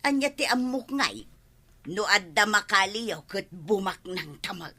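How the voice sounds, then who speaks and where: Bossy, sharp-voiced village wife. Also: female voice, medium pitch, expressive, unhurried. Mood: bossy, scheming. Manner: bossy, insistent. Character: pushy, scheming village wife chasing easy riches. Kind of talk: radio comedy drama acting.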